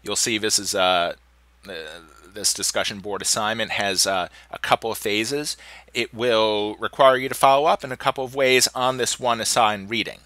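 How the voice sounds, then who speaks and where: A man in the middle of getting over a cold, his voice stuffy and a little hoarse, guides students through their online course page. He sits somewhat low in pitch but sounds bright and forward, and the recording is dry and close with no room around it. He talks loosely, pausing on "uh" as he clicks along. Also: no echo. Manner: relaxed, conversational, with frequent "uh" restarts. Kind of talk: screen-recorded course walkthrough. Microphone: computer mic, voice-over of screen capture.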